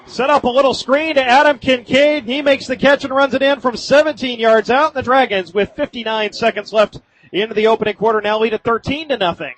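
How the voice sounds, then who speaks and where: A man doing live radio play-by-play of high school football in short, clipped phrases. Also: steady tone, brisk, a bit bright, slight background noise, rough recording. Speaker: play-by-play sports announcer.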